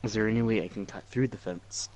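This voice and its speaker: Hesitant man with a lowish voice. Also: fast talker, darkish tone. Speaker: tabletop role-playing game player. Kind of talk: casual game chat.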